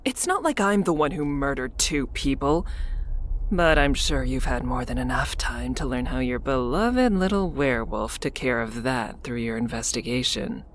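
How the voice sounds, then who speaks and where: An actor playing a cold, mocking town official who hunts a werewolf in a dramatic visual novel, sardonic and taunting, over music. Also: male voice, mid-range voice, lively intonation, moderate pace, slight background noise, close to an everyday voice. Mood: mocking, menacing. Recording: music under the voice.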